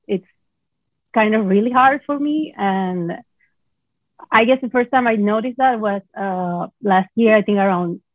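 A woman from Venezuela, a native Spanish speaker, chatting casually and haltingly as a self-conscious coaching client. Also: mid-range voice, dry recording. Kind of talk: casual personal chat. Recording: muffled, dry remote-call sound.

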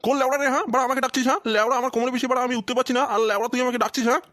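A man's voice: extremely high pitch, steady tone, rapid-fire, pushed and bright, no room sound, clean studio sound. A man voicing a foul-mouthed, scolding old village father with an aching back in a crude comedy cartoon. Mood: scolding, pained.

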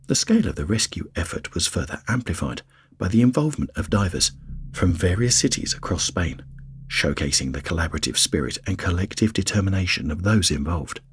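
A man reading a scripted documentary narration in a grave, measured way. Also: deep voice, expressive, a little hiss or hum. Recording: faint music bed under voice-over.

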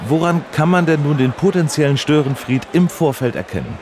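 An actor playing a polite, straight-faced male radio reporter, asking formal deadpan questions in a mock on-location report. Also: fairly high pitch, a little fast, slight background noise, close to an everyday voice.

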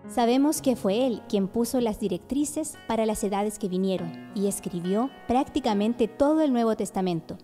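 A woman narrates a radio drama from a script. Her voice is soft-edged and mellow, pitched a little high, with quiet music underneath. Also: lively intonation, normal speed, few pauses. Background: faint music.

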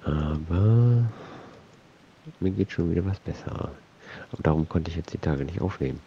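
A man's voice: sits low, lively intonation, moderate pace, vocal fry, highs cut off, slight background noise. A man with a cold, casually rambling and muttering to himself while commenting on his gameplay. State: has a cold. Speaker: let's play host.

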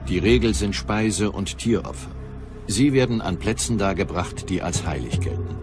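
Male narrator, low voice, scripted history documentary read with music clearly underneath. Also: expressive, normal speed. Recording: music behind the voice.

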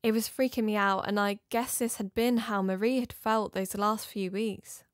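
A woman's voice: medium pitch, fairly even intonation, normal speed, no room sound, close to an everyday voice. Actress as uneasy female narrator, very dry sound. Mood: uneasy, reflective. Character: uneasy female investigator narrating her own story. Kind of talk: scripted first-person drama narration.

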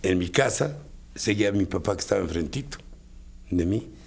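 A man's voice: low voice, animated, a little fast. An older man with a Mexican accent, a sound effects artist reminiscing casually and colloquially in an interview. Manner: colloquial, fond of diminutives. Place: dim indoor recording studio. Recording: clear background noise.

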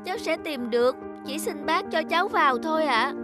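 High-voiced earnest little boy, acted by a woman. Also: expressive, fairly quick. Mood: pleading. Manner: earnest, pleading, polite. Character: earnest, sensitive young boy devoted to his mother. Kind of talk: Vietnamese animated moral story dubbing. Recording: music behind the voice.

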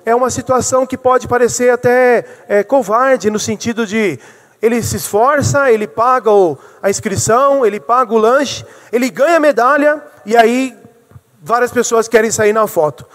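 A man's voice: very high-pitched, moderate pace, fairly bright tone. A middle-aged man from Brazil, a city councilman, giving an earnest speech at a council podium, explaining his points and asking rhetorical questions. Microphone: podium microphone. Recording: long hall reverb.

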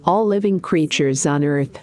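Low-pitched woman guiding a meditation. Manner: calm, deliberate, soothing instruction with pauses. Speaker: meditation guide and coach. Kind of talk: guided meditation narration.

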